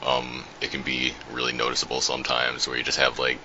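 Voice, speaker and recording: A man with a deep, bassy voice in casual podcast chat, speaking calmly and without much animation, recorded on a home mic. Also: fairly quick, a little hiss or hum. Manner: calm, not animated. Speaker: podcast co-host, voice actor. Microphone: home USB mic. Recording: dull lo-fi backup audio.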